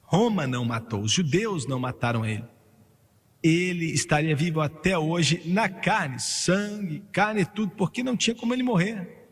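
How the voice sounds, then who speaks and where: A man preaching a sermon emphatically, slowing and rising on key phrases and joking with the congregation. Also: on the high side, animated. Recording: long hall reverb.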